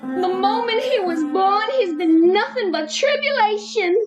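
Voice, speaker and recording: A woman's voice: extremely high pitch, lively intonation, unhurried, music in the background. A woman acting an exasperated, fretful frontier mother with folksy rustic speech in a Western radio drama. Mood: worried. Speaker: actor in an amateur radio drama.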